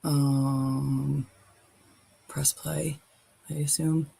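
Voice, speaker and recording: A woman's voice: sits low, flat delivery, extremely slow, vocal fry, dark tone, slightly rough. A woman hosting a live stream, chatting loosely and swearing casually as she sets up her screen. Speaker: livestream host.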